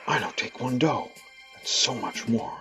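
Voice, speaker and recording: A man playing an awestruck hero in a radio play, with halting, emphatic delivery. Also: medium pitch, animated, over music, natural voice. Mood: stunned. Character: Neil, awestruck hero coming to believe he is the One.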